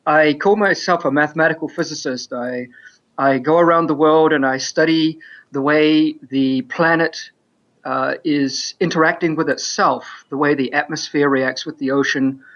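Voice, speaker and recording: A man's voice: fairly high pitch, a bit bright, highs cut off. A man with a New Zealand accent, co-hosting a radio panel, speaking earnestly with hesitant restarts. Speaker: co-host and scientist. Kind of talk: casual radio panel talk.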